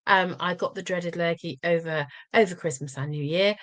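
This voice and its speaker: A woman co-hosting a casual chat podcast as a coach, slightly croaky after a cold, talking thoughtfully with many ums. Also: fairly low pitch, moderate pace, fairly bright tone.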